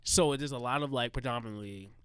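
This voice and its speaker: A man's voice: mid-range voice, fast talker, clean tone, dry recording. A young man, hosting a casual podcast chat, swearing freely and acting out quoted speech. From United States. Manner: animated, swears a lot, acts out quoted speech loudly. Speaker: podcast host.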